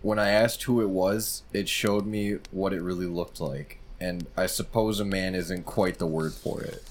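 A man voicing a weary former homeowner who speaks quietly and sounds resigned in a storybook reading. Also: low-pitched, fairly even intonation, measured pace, slight background noise, pitched below the performer's own voice. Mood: uneasy.

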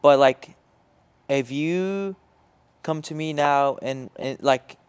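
A young man from Syria whose first language is Arabic, co-hosting a casual podcast chat in a halting way, full of "like" and restarts. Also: on the high side, clear, resonant tone. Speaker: podcast co-host.

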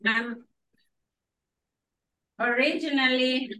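A woman from Indonesia speaks as a guest pastor, telling her story with frequent laughter and amens. Her voice is high and bright. She is picked up from some distance in a roomy, echoing space. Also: very slow. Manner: warm, laughing, affirming with amens. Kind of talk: testimony at a church gathering. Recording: roomy echo, mic from afar.

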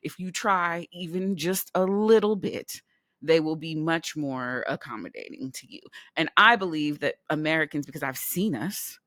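Podcast co-host, middle-aged woman from California, lowish voice, dry close sound, laughs and swears a lot. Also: moderate pace, fairly bright tone, dry recording. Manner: laughs often, swears casually. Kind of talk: casual chat.

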